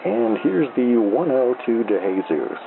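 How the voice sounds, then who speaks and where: A man playing a calm, laconic small-town baseball announcer doing unhurried play-by-play on the radio. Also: mid-range voice, gravelly. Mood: calm, unruffled. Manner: calm, laconic, drowsy, unruffled. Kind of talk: slow minor-league baseball radio play-by-play. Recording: dull noisy AM-style baseball radio broadcast.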